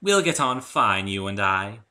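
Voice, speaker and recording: A man's voice: fairly low pitch, sing-song, unhurried, pushed and bright, exaggerated voice. A man voicing a refined, genteel, good-natured female unicorn in a dramatic story reading, with an elegant, theatrical, affectionate manner. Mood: warm.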